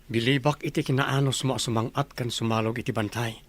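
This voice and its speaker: Guy acting a lost confused gentle stranger, husky voice, hesitant, radio drama. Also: mid-range voice, fairly even intonation, a little fast, close to an everyday voice. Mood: curious. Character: gentle, bewildered stranger who lost his memory.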